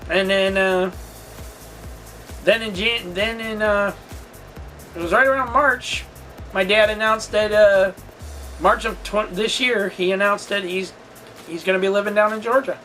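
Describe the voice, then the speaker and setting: A man with a notably high voice talks through a personal story in a casual vlog style. His delivery is halting and rambling, with a candid, confessional feel. Music plays faintly behind him. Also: fairly even intonation, sharp tone. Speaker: storytelling vlogger and channel host.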